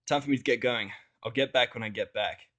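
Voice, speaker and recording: A man voicing a sullen, irritable human man in a fan fiction audio story, speaking with deadpan sarcasm. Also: medium pitch, a little fast, lightly acted.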